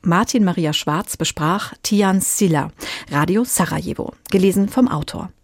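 A woman's voice: lowish voice, moderate pace, no echo, studio quality. A woman hosting a radio review show, presenting and chatting with a reviewer. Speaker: radio host.

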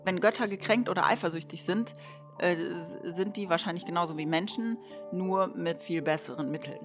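A woman's voice: on the low side, normal speed, music in the background. A woman giving casual, conversational commentary on a play, explaining in a reflective and wry manner.

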